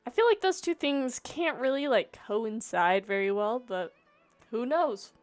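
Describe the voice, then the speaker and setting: A woman's voice: a little high, animated, unhurried. A woman streamer giving casual, rambling, self-deprecating commentary as she plays a game. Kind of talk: casual live commentary over gameplay. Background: game music.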